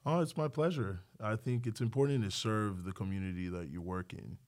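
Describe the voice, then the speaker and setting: Male podcast guest: doctor from Nigeria, low-pitched, informal and chatty in casual conversation. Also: brisk, a little mellow.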